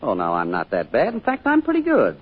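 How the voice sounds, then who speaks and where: An actor playing a genial, joking husband at home, casual and teasing, in an old-time radio drama. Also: male voice, medium pitch, wildly swooping pitch, fairly quick, over loud music. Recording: muffled old radio recording.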